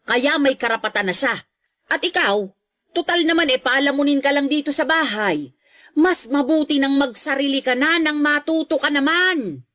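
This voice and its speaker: A harsh middle-aged mother in a radio drama. Her voice sits a little high and she snaps and scolds dismissively. The recording is dry and close. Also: lively intonation, moderate pace, fairly bright tone, no room sound. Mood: scornful. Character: harsh, scornful, nagging mother of grown children. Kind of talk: Tagalog radio drama acting.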